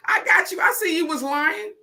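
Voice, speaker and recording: Woman, preaching cadence, high and bright, calling out to listeners. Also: normal speed. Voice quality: deep voice. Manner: rhythmic, repetitive preaching cadence with call-outs to the crowd. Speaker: motivational speaker. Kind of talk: spoken-word inspirational talk.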